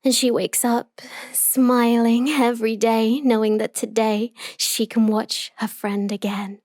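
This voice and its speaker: A woman playing an obsessive, possessive young yandere in an intimate roleplay audio monologue, telling her story with deliberate emotional intensity. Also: on the high side, flat delivery, darkish tone, dry recording, clean studio sound, natural voice. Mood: sweetly wistful.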